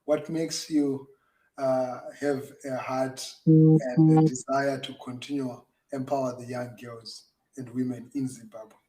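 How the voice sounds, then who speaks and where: A man's voice: mid-range voice, level tone, slow, poor audio. A man hosting an online interview, asking questions with hesitant restarts and fillers. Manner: hesitant, repeats words, gets louder on welcomes. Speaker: show host.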